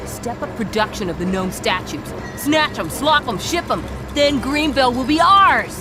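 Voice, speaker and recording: Bossy, animated greedy villain. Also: high voice, moderate pace. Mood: greedy, commanding. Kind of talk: scripted video game character acting. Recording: music behind the voice.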